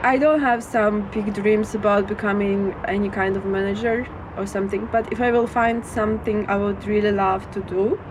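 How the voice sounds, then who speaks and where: A woman's voice: mid-range voice, steady tone, moderate pace, hardly any pauses, noisy background. A young adult woman whose native language is Russian, chatting as an interview guest, hesitant with many fillers and restarts. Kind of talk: casual interview chat.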